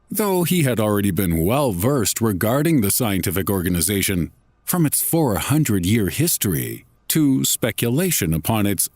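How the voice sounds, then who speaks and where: Slow, low-voiced man narrating a horror story. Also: a little mellow, dry recording.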